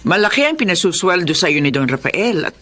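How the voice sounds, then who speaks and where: Animated, concerned Filipino mother. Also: fairly low pitch, a little fast. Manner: concerned, expressive. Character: concerned, questioning older mother of a nanny. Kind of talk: Filipino radio drama acting.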